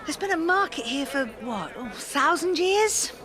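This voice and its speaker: A woman's voice: sits high, very animated, measured pace. An actress playing a kindly, chatty older British village woman who muses nostalgically, in a naturalistic radio drama. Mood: chatty, reminiscing. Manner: chatty, musing. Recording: busy crowd and livestock market ambience.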